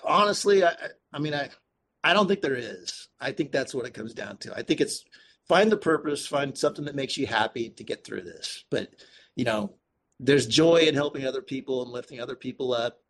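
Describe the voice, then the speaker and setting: Guy being interviewed on a podcast, kinda halting and earnest, casual chat, some background noise. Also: medium pitch, animated, a bit bright. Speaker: podcast guest, activist and author. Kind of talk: casual conversational interview answers.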